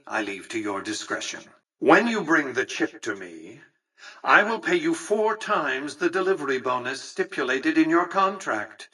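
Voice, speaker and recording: An imperious, ancient tycoon from a video game. His voice is forceful and sharp-edged with a husky grain, heard from slightly off the mic. He speaks formally and precisely, with a pompous, condescending air of cold calculation. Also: medium pitch, recorded from a distance, low-quality recording. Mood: businesslike, stern. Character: imperious, coldly calculating, centuries-old male business tycoon. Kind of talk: scripted video game character dialogue.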